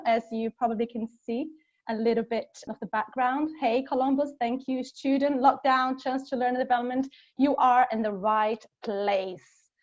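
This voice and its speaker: A woman in her thirties from Brazil with a Brazilian accent, giving an upbeat webinar talk from her home. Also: fairly high pitch. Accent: Brazilian Portuguese. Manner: upbeat, engaging the audience. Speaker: guest speaker. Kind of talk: webinar presentation. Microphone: video call. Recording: muffled video call audio.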